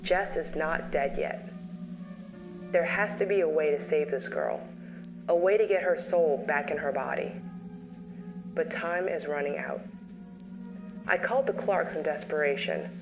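A woman narrating an analog horror story in a slow, weary, grave voice. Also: low-pitched, low-quality recording. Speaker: narrator, therapist. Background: music.

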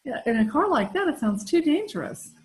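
A middle-aged woman playing a gentle, fretful wife as the straight woman in an amateur old time radio sitcom. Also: high voice, big pitch swings, a little fast, a little hiss or hum. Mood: curious, worried. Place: indoor room at home, video call. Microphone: computer mic on a video call.

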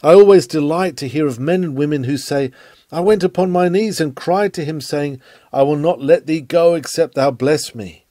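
A man's voice: on the high side, moderate pace, warm tone, no echo. A man reading an old sermon aloud in an earnest preaching delivery, with dramatic swells of emphasis. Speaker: reader of a historical sermon.

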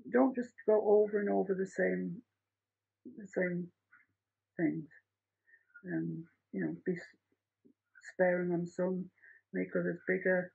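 Woman, middle-aged, husky, chatty art teacher, home studio, dull lo-fi sound. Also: lowish voice, fairly even intonation, slow pace, long pauses, darkish tone. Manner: chatty, rambling, practical. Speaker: painting teacher. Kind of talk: painting tutorial. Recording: muffled lo-fi home recording.

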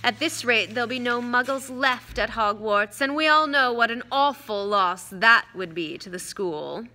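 Storybook villain, aristocratic man acted by a woman, high-set, smooth, drawling, condescending. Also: lively intonation, moderate pace, sharp tone. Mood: lazy menace.

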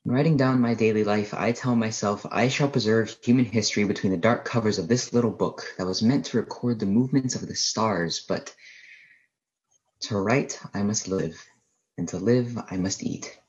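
Man acting a low-voiced, haunted professor, last survivor. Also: a little mellow, muffled recording, natural voice. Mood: weary, grim. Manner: halting, brooding, literary delivery. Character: haunted male astronomy professor, lone survivor of alien invasion. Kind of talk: dramatic first-person radio play narration.

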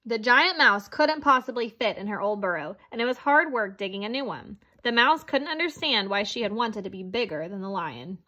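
Woman, storybook narrator, high-pitched voice, bright tone, read-aloud delivery. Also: lively intonation, moderate pace, few pauses, dull audio. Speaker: storybook reader. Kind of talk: read-aloud children's picture book narration.

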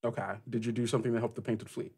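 Man running a tabletop game, deliberate and halting. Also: mid-range voice, little pitch movement, a little mellow, husky. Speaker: game master. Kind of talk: narrating and questioning in a tabletop role-playing game.

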